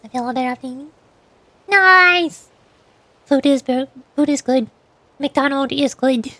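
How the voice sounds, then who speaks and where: A high-voiced woman streaming a game and chatting casually. She speaks haltingly, circling back over her words, and the sound is close and dry. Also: flat delivery, a little mellow, dry recording. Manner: hesitant, halting, repeats words. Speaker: VTuber streamer. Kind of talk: casual gaming stream chat.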